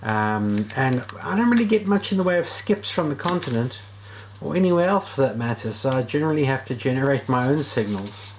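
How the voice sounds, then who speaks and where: Low-voiced man over background noise. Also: big pitch swings, brisk, hardly any pauses. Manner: informal, thinking aloud while working. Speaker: video host and radio repair hobbyist. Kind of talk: casual hands-on repair vlog.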